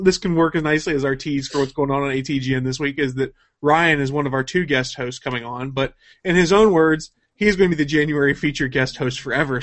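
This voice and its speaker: A man's voice: fairly high pitch, fairly bright tone. A man hosting a casual news chat show, talking in a jokey, informal way. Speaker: show host.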